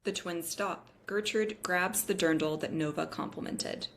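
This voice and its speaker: A woman with a lowish voice narrates a script at a table read. She reads out the stage directions between the character parts. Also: measured pace.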